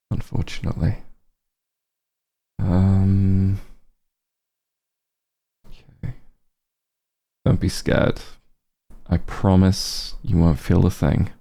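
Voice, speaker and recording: Man acting as caring back-room doctor, ASMR roleplay, very mellow and dark, gravelly, slow, reassuring. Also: heavily acted. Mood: calm, reassuring. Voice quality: husky, low, gravelly. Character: gentle, concerned back-room doctor, an old friend.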